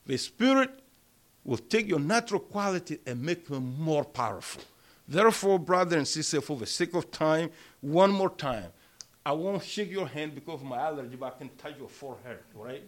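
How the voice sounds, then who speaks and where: A man preaching a sermon and leading prayer in a small church, speaking emphatically with a strong voice that rises loud on key words. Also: a little high, animated, normal speed. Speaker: pastor.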